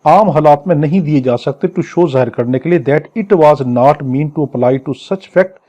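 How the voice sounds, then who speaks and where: A man's voice: on the high side, dark tone, highs cut off. A man with a Pakistani accent teaching law in a didactic way, explaining phrase by phrase and repeating himself. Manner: didactic, repeats and paraphrases, switches to slow English readings. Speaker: law lecturer. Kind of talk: exam lecture, explaining legal text phrase by phrase.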